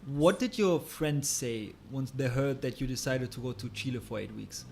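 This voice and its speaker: A man's voice: mid-range voice, moderate pace, gravelly, slight background noise. A man hosting a podcast, introducing and interviewing a guest. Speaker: podcast host.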